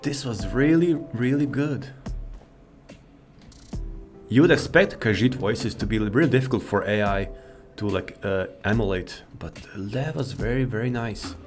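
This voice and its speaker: A man's voice: lowish voice, measured pace. A man giving casual, rambling commentary as he shows off a modded game. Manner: rambling, informal, easily sidetracked. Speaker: gaming video host. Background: faint game music.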